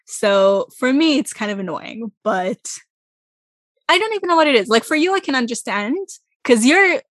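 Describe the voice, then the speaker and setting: Animated, dry-sounding woman. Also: fairly high pitch, normal speed, no echo. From Israel. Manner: animated, laughs often, self-described loud. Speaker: co-host discussing musicals. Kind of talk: casual podcast chat.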